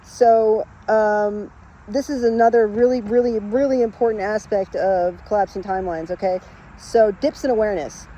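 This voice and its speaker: A woman's voice: mid-range voice, steady tone, moderate pace, mellow, dull audio. A woman in her late thirties explaining spiritual ideas in a casual, rambling monologue, emphatic and full of fillers. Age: late 30s. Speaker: spiritual vlogger.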